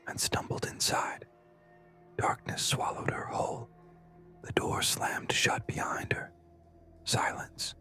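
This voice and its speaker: A narrator telling a scary story in a breathy, hushed whisper, with dramatic short suspenseful phrases. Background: music.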